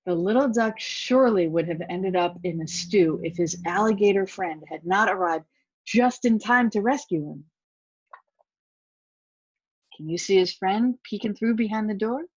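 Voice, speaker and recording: A woman's voice: mid-range voice, unhurried, husky, no room sound, muffled recording. A woman reading a children's picture book aloud, with asides to the young listeners. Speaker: volunteer story reader.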